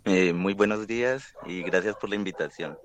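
A man, a university lecturer explaining his work in a radio interview in a conversational way, filling pauses with "pues". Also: low-pitched.

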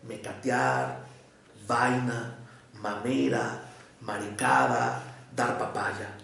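A Mexican man with a mixed Mexico City and Jalisco accent lectures to an audience as a psychoanalyst. He talks in measured, carefully paused phrases with a gravelly texture. The sound is picked up from far off in a large, strongly echoing hall. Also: medium pitch, steady tone, a bit bright. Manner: measured, deliberate, speaks in short phrases with pauses.

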